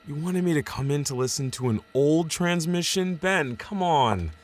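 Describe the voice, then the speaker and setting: An actor playing a tired, skeptical scientist colleague in a naturalistic radio drama. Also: male voice, fairly high pitch, lively intonation, normal speed, natural voice. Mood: weary, impatient.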